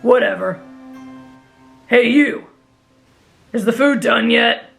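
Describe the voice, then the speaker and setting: A woman's voice: fairly high pitch, slow, vocal fry, soft background music, voice pushed down, full character voice. A woman voicing a brash, headstrong teenage boy who talks in a blunt, boastful way, in an anime fan roleplay. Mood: gruff, blunt.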